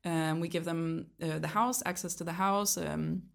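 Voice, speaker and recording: Gravelly-voiced young woman, hesitant. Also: on the low side, steady tone, moderate pace. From Canada. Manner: hesitant, many ums and restarts. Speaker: guest, project leader. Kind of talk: casual interview chat.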